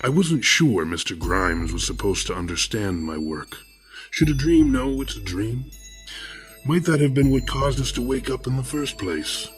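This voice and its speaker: An actor playing a serene, philosophical serial killer who narrates horror fiction in measured, florid, formal phrases. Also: male voice, medium pitch, lively intonation, soft background music, close to an everyday voice. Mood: calm, musing.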